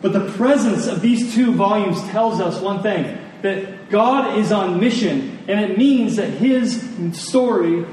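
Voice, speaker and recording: Male pastor, slightly high voice, earnest conversational sermon delivery with emphatic pauses, in a very echoey room. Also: a little mellow. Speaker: pastor preaching. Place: large room, preaching to a congregation. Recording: echoey room, distant mic, faint noise.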